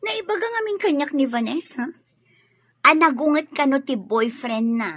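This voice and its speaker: A woman's voice: high-pitched, expressive, moderate pace, no room sound. A woman playing a coy, teasing young single nurse in a radio drama. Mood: chatty. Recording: muffled radio sound, faint music.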